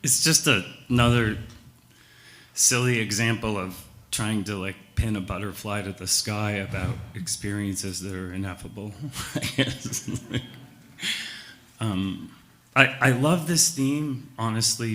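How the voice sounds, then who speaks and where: A man, a songwriter, talking haltingly and self-deprecatingly on stage about his song. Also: lowish voice, a bit bright. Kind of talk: casual onstage talk. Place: concert stage.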